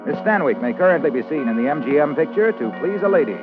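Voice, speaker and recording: A man reading credits as a formal, measured 1950s radio announcer. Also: a little high, lively intonation. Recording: tinny vintage radio broadcast, music behind.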